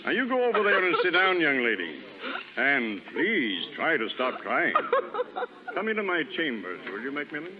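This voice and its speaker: An actor playing a kindly, cautious judge in a 1930s radio drama, with a soothing, paternal manner. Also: male voice, a little high, sing-song, normal speed. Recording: tinny, hissy, echoing 1930s radio recording.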